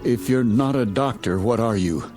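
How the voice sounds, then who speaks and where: A man's voice: mid-range voice, normal speed, a little mellow, soft background music, natural voice. A man playing a suspicious, irritable newspaper reporter stranded in a small town, curt and guarded, in an audio drama.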